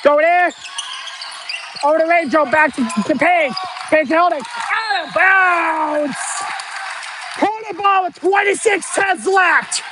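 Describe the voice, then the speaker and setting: A man giving excited live basketball play-by-play, shouting the calls in a strained voice that is giving out. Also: very high-pitched, takes it slowly, pauses often. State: strained, losing his voice. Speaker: amateur play-by-play announcer. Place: indoor school gym. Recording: noisy gym with ball bounces.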